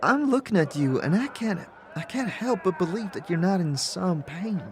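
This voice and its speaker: A woman's voice: on the low side, lively intonation, normal speed, close to an everyday voice. An actress playing an eager young woman student in an audio drama, stammering and apologetic.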